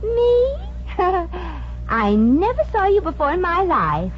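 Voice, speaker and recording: A woman's voice: very high voice, huge pitch swings, takes it slowly, heavily acted. A woman playing a brash, slangy American working-class salesgirl in a 1950s radio comedy, with a sassy theatrical manner. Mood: sly, mock innocent. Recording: dull old radio recording, background music.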